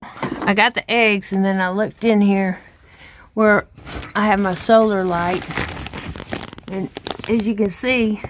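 A woman's voice: medium pitch, fairly even intonation, takes it slowly, long pauses, noisy background. A middle-aged woman with a Southern accent, chatting casually to her phone camera outdoors on her farm, folksy and full of fillers. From Texas. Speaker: farm vlogger. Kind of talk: casual vlog narration. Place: outdoors on a rural farm, by a chicken pen. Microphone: handheld phone camera.

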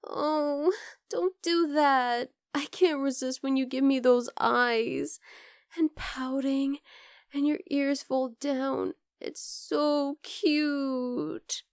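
A woman's voice: high-pitched, steady tone, very slow, no echo, clean studio sound, exaggerated voice. A woman playing a young wolf-girl girlfriend in an intimate ASMR roleplay, affectionate, teasing and coaxing. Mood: doting, giving in. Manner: cooing, playful, coaxing.

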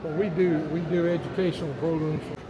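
Older man, tour guide, slightly high voice, folksy yarns, noisy hall behind. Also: level tone, muffled recording. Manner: folksy storytelling, halting restarts. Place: large indoor museum hall. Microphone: camera held by a visitor nearby.

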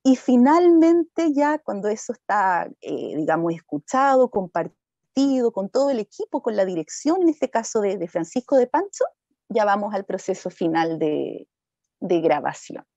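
Woman, thirties, soft-edged mellow tone, informal explanation, dry but slightly dull call audio. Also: mid-range voice, big pitch swings, a little slow, dry recording. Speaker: musician on a panel. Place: indoor room at home, on a video call. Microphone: video call.

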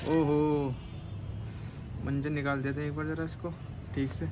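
A young man vlogging on an outdoor rooftop, chatting casually and excitedly while flying a kite. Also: a little high, a little slow, background noise.

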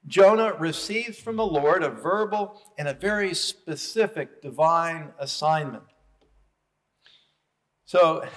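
A middle-aged man preaching a sermon from the pulpit of a small chapel, deliberate and fond of rhetorical questions, heard from a far camera. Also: fairly high pitch. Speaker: chaplain. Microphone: far camera, speaking from pulpit.

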